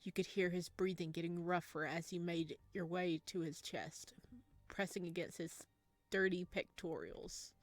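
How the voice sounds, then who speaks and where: A woman playing through a visual novel, reading the text aloud and adding animated, laughing comments. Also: lowish voice, unhurried, no echo. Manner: animated, laughing asides between hushed narration. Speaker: game streamer.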